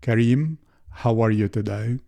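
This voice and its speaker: Slow, mellow-voiced male podcast host. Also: medium pitch, creaky. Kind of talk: scripted intro then interview questions.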